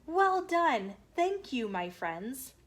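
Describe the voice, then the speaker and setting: A man's voice: extremely high pitch, very animated, very slow, a bit bright, exaggerated voice. A woman voicing a grumpy, weary medieval king in a storybook, muttering his complaints. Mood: pleased, grateful.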